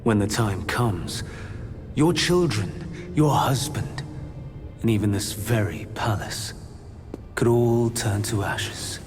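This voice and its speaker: A man's voice: on the low side, lively intonation, takes it slowly, long pauses. An actor playing a scheming, silver-tongued male immortal in a video game, formal, insinuating and theatrical. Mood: grave, persuasive. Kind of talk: dramatic video game cutscene acting. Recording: voice under prominent music.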